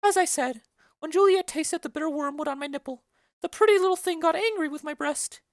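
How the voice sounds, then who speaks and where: A woman voicing a chattering, bawdy old female nurse in a dramatic reading of a play, rambling and loud. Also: high voice, a little fast, no room sound, studio quality, natural voice. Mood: gossipy. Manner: loud, rambling, giggly storytelling.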